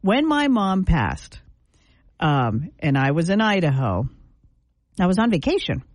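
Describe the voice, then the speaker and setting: A woman's voice: fairly low pitch, a little slow, dry recording. A woman in her fifties hosting morning radio, laughing easily and telling stories with a lot of animation. Her voice swoops up and down as she talks. It is recorded close and dry, with no room sound. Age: 50s. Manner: giggly, animated storyteller. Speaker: radio morning show co-host. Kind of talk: casual radio banter.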